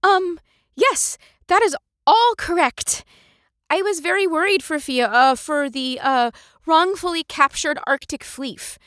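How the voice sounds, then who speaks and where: A woman's voice: high-pitched, measured pace, a bit bright, no echo, clean studio sound, voice pushed up. A woman voicing a flustered, nervous young woman who stumbles over her words with ums and uhs.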